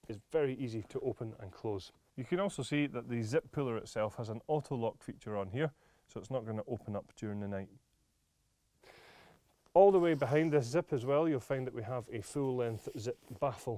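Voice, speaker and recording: A man's voice: medium pitch, mellow. A man presenting a product demonstration in a studio, explaining features as he handles it. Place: indoor studio set with camping display.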